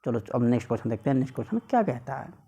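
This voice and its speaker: A man's voice: medium pitch, very animated, very fast, vocal fry, warm tone, slightly rough. A man teaching an informal exam lesson, repeating phrases and putting rhetorical questions to students. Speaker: maths exam coach.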